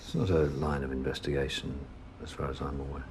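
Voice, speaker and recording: Deep-voiced, wry investigator. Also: male voice, fairly even intonation, brisk, noisy background. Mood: gently probing. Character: wry, courteous British male investigator making small talk. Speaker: police interviewer. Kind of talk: naturalistic TV drama dialogue.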